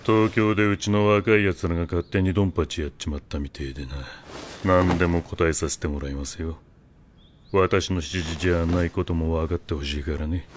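A man playing a condescending middle-aged yakuza boss in an anime, with a rough, drawling, patronizing delivery. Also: sits low, slight background noise, lightly acted. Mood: businesslike, sly. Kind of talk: anime drama acting.

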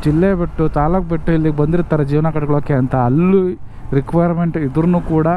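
A man's voice: on the high side, fairly even intonation, quick pace, phone-quality sound. A man giving chatty, teasing commentary while riding a motorbike through city traffic. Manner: chatty, teasing, grumbling asides to the viewer. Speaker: motovlogger. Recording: loud traffic and wind noise.

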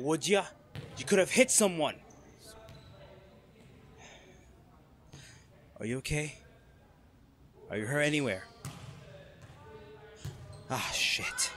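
A man's voice: medium pitch, fairly bright tone. A man playing a cocky college volleyball captain. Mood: friendly. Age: young adult. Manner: laid-back, laughs often, swears casually. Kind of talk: audio roleplay to a listener.

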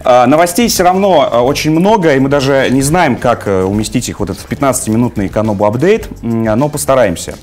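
Lively young male show host. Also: medium pitch, fast, few pauses, faint music behind. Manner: lively, joking, many fillers. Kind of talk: casual studio chat. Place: small studio with orange chairs.

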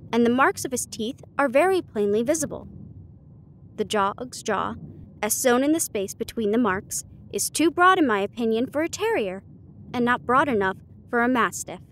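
A woman voicing a confident, teasing male detective in a storybook reading, shrewd and theatrical, with deliberate deductions. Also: fairly high pitch, a bit bright, a little hiss or hum.

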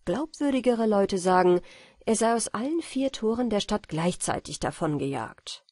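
Woman, audiobook fairy tale narration, soft husky voice, measured storytelling, dry close recording. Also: mid-range voice, expressive, no echo. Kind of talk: scripted fairy tale narration for bedtime listening.

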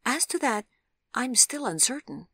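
A woman's voice: mid-range voice, normal speed, no echo, clean studio sound, voice pushed up, close to an everyday voice. A woman voicing an awkward, courteous, apologetic man in an audiobook, speaking with hesitant, formal politeness. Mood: formal, earnest. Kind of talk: audiobook character voice.

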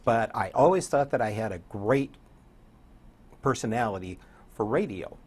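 Husky older man, low-key storyteller. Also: animated, moderate pace, darkish tone. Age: older, around 70. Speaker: guest, radio program director and DJ. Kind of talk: casual interview chat. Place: TV studio set, seated.

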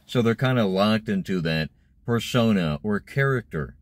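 A man's voice: on the low side, animated, normal speed, no echo. A young man talking casually to camera, explaining things as a vlogger sitting in a parked car. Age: young adult. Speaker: vlogger discussing voice acting.

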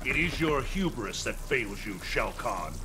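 An actor playing a stern, righteous thunder god in a fighting game, speaking solemnly and formally. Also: male voice, medium pitch, expressive, a little slow, over music, natural voice. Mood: stern, admonishing. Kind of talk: video game fighting banter.

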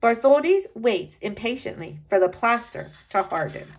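A woman voicing a diligent, observant woman assistant in a sculptor's workshop, in a steady descriptive storybook reading. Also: on the high side, moderate pace.

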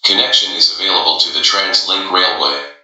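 A man's voice: low-pitched, fairly even intonation, fairly quick, heavy background noise. A man reading scripted station and transfer announcements as a subway announcer. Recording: very echoey announcement clips.

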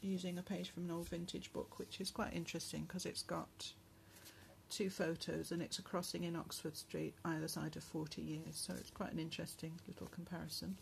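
Softly chatty woman, slightly hoarse. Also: on the low side, little pitch movement, a little hiss or hum, rough recording. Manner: soft, chatty, with many pauses and fillers. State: going hoarse, clearing her throat. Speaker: crafter showing a handmade journal. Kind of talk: casual craft flip-through narration. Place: indoors at a table at home. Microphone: phone camera above the table.

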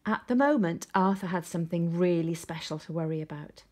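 Husky woman reading a children's story. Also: fairly low pitch, fairly quick. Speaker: storybook reader. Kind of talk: expressive children's story read-aloud. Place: indoors at home, seated.

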